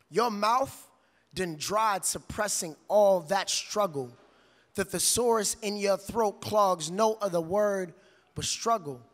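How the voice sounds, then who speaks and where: A man performing spoken word poetry with an emphatic, rhythmic, declamatory delivery. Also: high-pitched. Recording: long reverberant hall.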